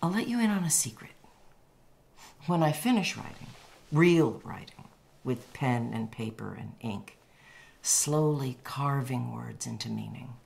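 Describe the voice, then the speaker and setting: A very deep-voiced woman of letters, in a radio drama. She is blunt and imperious, with clipped, emphatic delivery and total self-assurance. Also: lively intonation, unhurried, gravelly, a little mellow, exaggerated voice. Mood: intense, self-assured. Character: blunt, imperious American woman, celebrated writer.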